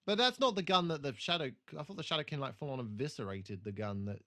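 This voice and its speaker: A man's voice: a little high, quick pace, fairly bright tone. A man co-hosting a podcast, chatting casually and swearing freely about a TV show. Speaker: podcast host.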